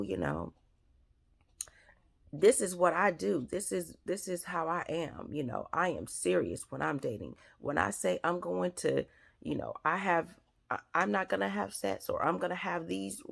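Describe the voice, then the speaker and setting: Woman host, hoarse and gravelly, earnest advice delivery full of fillers. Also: lowish voice. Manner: earnest, repetitive, many fillers. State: raspy, scratchy throat. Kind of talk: advice talk to camera.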